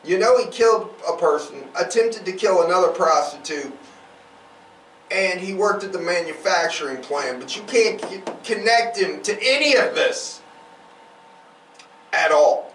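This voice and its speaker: A man's voice: high-pitched, lively intonation, unhurried, a bit bright. A man hosting a true-crime podcast, telling cases informally with emphatic, opinionated commentary. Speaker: podcast host. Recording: roomy, distant mic, faint noise.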